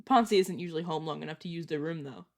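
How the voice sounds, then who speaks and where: A woman's voice: low voice, fast talker, a little mellow. A woman playing a casual, reassuring vampire guide in an audio roleplay, talking informally to the listener as a mentor to a newcomer. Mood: chatty, casual. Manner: chatty, informal, self-correcting, reassuring.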